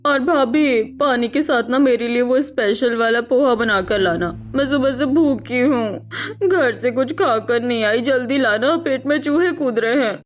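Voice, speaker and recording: A woman voicing a self-pitying, demanding middle-aged sister in a cartoon, tearful, whiny and drawn-out. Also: a little high, lightly acted. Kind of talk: animated cartoon story acting.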